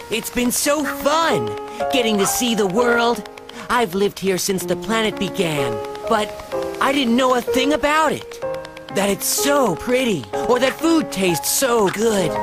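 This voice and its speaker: A woman voicing a gentle, slow ancient little creature. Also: mid-range voice, lightly acted. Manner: gentle, earnest, slow-spoken. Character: small, sweet, ancient fairy-like creature.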